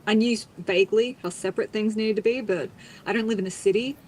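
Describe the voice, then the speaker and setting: A woman's voice: medium pitch, quick pace, over a noisy crowd. A woman, chatting casually in a blunt, teasing way. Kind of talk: casual chat.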